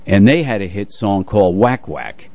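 An older man co-hosting a radio show, casually telling anecdotes about music in an unhurried way. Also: fairly low pitch, lively intonation, a little mellow, no echo.

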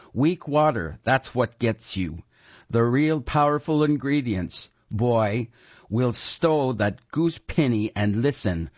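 A man's voice: medium pitch, no room sound. A man doing a ruthless old wizard head. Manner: bellowing, archaic rustic speech. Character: gruff old male wizard, severed talking head, ruthless. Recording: dull lo-fi recording.

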